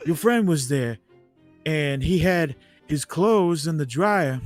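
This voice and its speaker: A young man telling a casual personal story as a guest, halting and repeating words as he quotes dialogue. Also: on the high side. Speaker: livestream guest. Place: online voice chat on a livestream. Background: music playing behind the voice.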